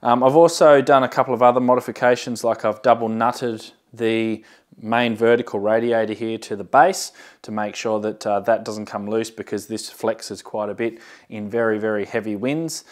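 A man's voice: fairly low pitch, little pitch movement, normal speed. Casual man presenting a hands-on show-and-tell. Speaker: amateur radio hobbyist and channel host. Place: indoor workshop storeroom with shelving. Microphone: lapel mic.

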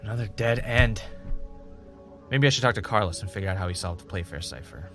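An actor playing a jaded former reality show winner turned podcaster, blunt and world-weary, in an audio drama. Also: male voice, on the low side, brisk, fairly bright tone, soft background music. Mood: exasperated. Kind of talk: audio drama dialogue and confessional narration.

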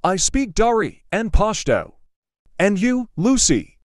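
A man's voice: sits high, very animated, takes it slowly, no echo, clean studio sound. A man reading a simple English dialogue for learners, carefully enunciated and repeating each line. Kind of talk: slow scripted dialogue reading.

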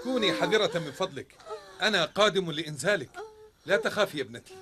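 A man's voice: high voice, lively intonation, fast talker, a crowd in the background. A man playing a protective, stern middle-aged father in a dubbed cartoon, with a formal, emphatic delivery. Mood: anxious, reassuring. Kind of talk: Arabic-dubbed cartoon acting.

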